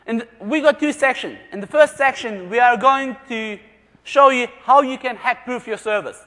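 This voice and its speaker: A man with a very high voice presents to a live conference audience, cracking jokes and firing questions at the room. He grew up in Germany. His voice rings out in a large hall with a long, trailing reverb. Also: normal speed, bright, forceful tone. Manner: jokey, asks the audience questions.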